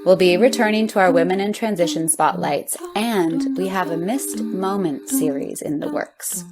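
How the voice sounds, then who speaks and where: Woman hosting a podcast, slightly low voice. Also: moderate pace, over loud music. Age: 40s. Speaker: podcast host. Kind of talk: scripted podcast intro and conversational interviewing.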